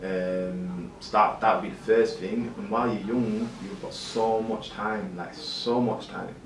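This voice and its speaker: A young man in his twenties with a British accent, talking casually as a podcast guest and repeating his points in a relaxed way. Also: on the low side, measured pace. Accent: British English. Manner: laid-back, repeats himself, says "innit". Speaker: guest, cryotherapy practitioner. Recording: echoey room, mic at a distance, faint noise.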